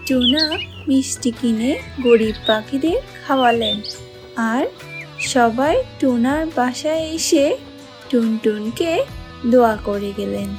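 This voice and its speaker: A woman narrates a cartoon storybook tale in a fairly high voice. Her scripted delivery is slow, and music plays clearly underneath. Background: music and birdsong.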